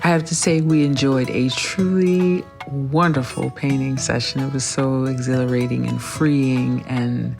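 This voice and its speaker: Mujer de voz grave, anfitriona entusiasta. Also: female voice, rumbling low, fairly even intonation, a little slow, gravelly, few pauses, over music. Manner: effusive, enthusiastic. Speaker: vlog host and interviewer. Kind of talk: voice-over narration and casual interview.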